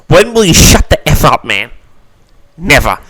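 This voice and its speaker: Animated, higher-voiced man hosting a Q&A. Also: unhurried, no room sound. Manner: theatrical, mock-grand, addressing listeners as "audience". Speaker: host of an audio log answering audience questions. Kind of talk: casual audience Q&A, reading questions aloud and answering.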